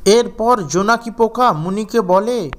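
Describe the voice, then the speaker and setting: A man's voice: high-pitched, unhurried. A man narrating a scripted children's fairy tale as storyteller.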